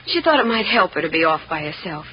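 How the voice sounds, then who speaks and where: An actress playing a concerned, gentle American woman in a 1950s radio serial, speaking in a careful, anxious, sympathetic way. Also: low-pitched, very animated, quick pace. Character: Claudia, concerned, gentle sister confiding bad news. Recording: muffled old radio recording with noise.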